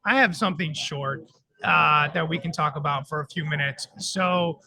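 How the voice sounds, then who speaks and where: A man co-hosting a live radio talk show, chatting in an upbeat, storytelling way. His voice is sharp and forceful, sitting a bit high for a man. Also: steady tone, normal speed, rough recording. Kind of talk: casual live radio chat. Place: conference floor broadcast.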